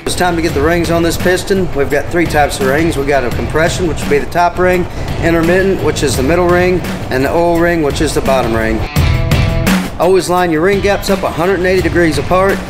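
Man, middle-aged, American accent, higher-pitched, plain-spoken, step-by-step workshop tutorial, music in background. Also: a little fast. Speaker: diesel mechanic presenting a tutorial. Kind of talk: step-by-step how-to explanation. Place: large indoor heavy equipment workshop.

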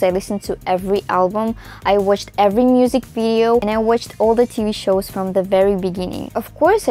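A young woman with a Russian accent, raised speaking Russian and Tatar, talks casually and expressively as a vlogger. Her voice sits at an average pitch for a woman. Music plays faintly behind her as she speaks indoors. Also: warm tone. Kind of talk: casual introductory vlog to camera. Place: small room indoors.

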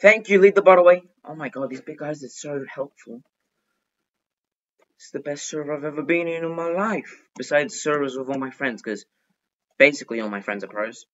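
A man giving excitable, chatty gameplay commentary as a gaming video host, talking to himself and often repeating phrases. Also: fairly high pitch, normal speed. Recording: dull, lo-fi microphone.

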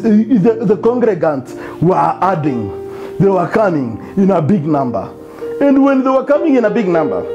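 Male pastor, 40s, fairly high voice that swings widely in pitch, music audible behind him. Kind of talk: sermon. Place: indoor studio set before a plain wall. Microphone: lapel mic.

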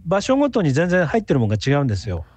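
A man's voice: on the high side, wildly swooping pitch, very fast, darkish tone, dry recording. A man who is a guest researcher, giving explanatory answers in a radio interview.

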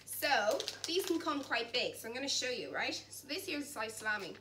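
A young woman with a bright, forceful voice talks viewers through a recipe in a chatty, encouraging way, keeping up the talk while she chops and mixes. She sounds a little high for a woman. She is recorded from across a large kitchen, with faint noise underneath. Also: expressive, a little fast. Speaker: cooking instructor. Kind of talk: cooking demonstration. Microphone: camera at a distance. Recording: distant mic, faint background noise.

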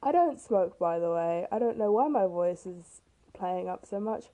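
A teenage girl with a rough, hoarse voice, casually narrating her own speed painting in a chatty, self-deprecating way. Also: on the low side, animated, normal speed, warm tone. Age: teens. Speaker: art vlogger. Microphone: camera mic.

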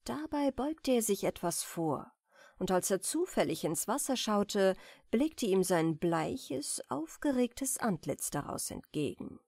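Slow, gentle woman reading bedtime tales. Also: medium pitch, sing-song, no echo, studio quality. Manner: soft, soothing, deliberate storytelling. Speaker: audiobook narrator.

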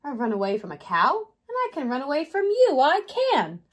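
Lady doing a cheeky little gingerbread kid from a storybook, high voice, bragging in a singsong chant. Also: wildly swooping pitch, moderate pace. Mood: boastful. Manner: chanting, repetitive boasts. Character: cocky little runaway gingerbread boy, taunting and boastful.

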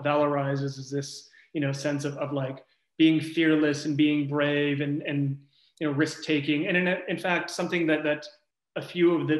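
A man speaking as a researcher in an academic panel discussion, thoughtful and loose, searching for his words as he goes. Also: fairly high pitch. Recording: echoey room.

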